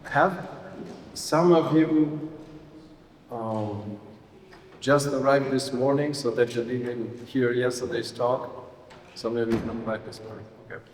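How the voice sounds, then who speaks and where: A middle-aged man, a priest giving an informal retreat lecture with wry humor, halting with fillers. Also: mid-range voice, a little hiss or hum. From Romania. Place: large hall. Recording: roomy echoing hall, voice from a distance.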